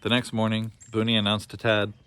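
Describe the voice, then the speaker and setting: Male narrator, low voice, reading a children's storybook. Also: monotone, a little fast, a bit bright, clear, resonant tone. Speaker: storybook reader.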